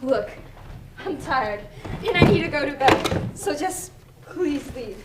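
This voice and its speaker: Woman playing high-voiced, troubled young daughter. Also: lively intonation, slow. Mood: weary. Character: weary, troubled college-age daughter, Emily. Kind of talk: amateur stage drama acting. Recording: echoey stage, faint noise.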